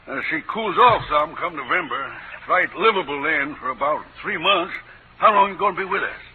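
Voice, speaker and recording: An actor playing an eager, folksy older hotel proprietor in a 1940s radio drama. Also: male voice, on the high side, animated, normal speed, phone-quality sound, close to an everyday voice. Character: excitable, hospitable older man, hotel proprietor. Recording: thin, noisy 1940s radio recording.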